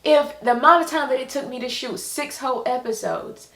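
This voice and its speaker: A woman vlogger speaking candidly and informally in a halting way, as a writer talking about her own creative work. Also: sits high, a bit bright. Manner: halting, informal, acts out quoted remarks. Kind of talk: candid personal vlog. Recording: echoey room sound.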